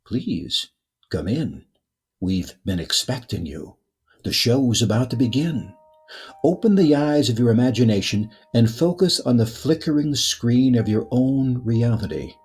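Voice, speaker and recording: A man playing an eerie, inviting radio host, narrating a suspense story in a portentous, deliberate, beckoning way. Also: mid-range voice, expressive, a little mellow, slightly rough. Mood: eerie invitation.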